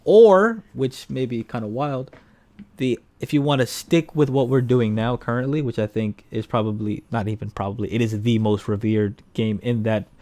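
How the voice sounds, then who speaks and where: A man's voice: medium pitch, moderate pace, warm tone. A man co-hosting a casual film and video game chat, speaking in an opinionated, blunt way. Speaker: podcast co-host reviewing films.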